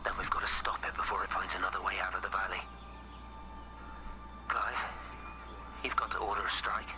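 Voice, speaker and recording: Casting: actor as grim, insistent researcher, lower pitch, music clearly behind, game drama. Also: male voice, level tone, moderate pace, lightly acted. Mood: urgent, grim. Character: urgent, hard-line male scientist. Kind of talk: naturalistic video game voice acting.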